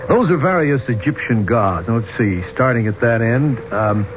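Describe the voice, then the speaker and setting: An actor as a plain-spoken archaeologist, noisy old radio. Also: male voice, mid-range voice, animated, normal speed, lightly acted. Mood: explaining. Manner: casual, plain-spoken, blunt. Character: affable, hot-headed American male archaeologist. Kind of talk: 1970s radio drama acting. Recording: muffled, noisy 1970s radio recording.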